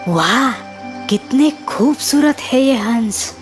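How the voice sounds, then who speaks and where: Woman as a slow, high-voiced wondering swan. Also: animated, soft background music. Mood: awed wonder. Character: gentle grown-up swan, awed by beauty.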